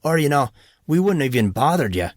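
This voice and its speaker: A man's voice: fairly high pitch, very animated, fairly quick, no room sound, clean studio sound, voice pushed up. A man voicing a brash, joking teenage boy who talks in cocky, slangy banter in an audiobook. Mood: teasing.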